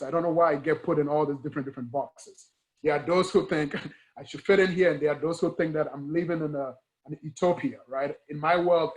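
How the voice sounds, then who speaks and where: A man's voice: a little high, low-quality recording. A man from Ghana with a Ghanaian accent, talking earnestly in a conversational interview, stressing points through repetition and rhetorical questions. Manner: emphatic, repeats key words and asks rhetorical questions. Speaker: guest speaker, engineering educator.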